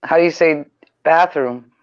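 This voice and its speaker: A man's voice: fairly high pitch, unhurried, clean tone, no room sound. A man hosting a casual live-stream chat, laughing often and teasing his callers. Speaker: stream host. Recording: dull lo-fi sound.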